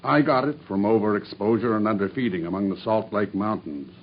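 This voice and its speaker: An actor playing a grim, dying male cab driver who makes a steady, grave confession in an old radio drama. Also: lowish voice, brisk, a little hiss or hum, natural voice. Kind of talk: 1950s BBC radio drama acting. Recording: dull old radio broadcast.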